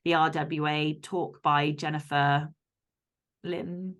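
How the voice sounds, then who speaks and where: A woman's voice: deep voice, flat delivery, slow pace, fairly bright tone. A woman speaking as an author guest in a casual interview, candid and emphatic, with some casual swearing.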